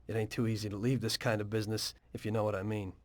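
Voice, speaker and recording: An actor playing a weary former taxi driver turned mob informant in a video game, with an American accent and a measured, matter-of-fact delivery. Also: male voice, lowish voice, little pitch movement, no echo, close to an everyday voice. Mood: guarded. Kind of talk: video game dialogue and narration.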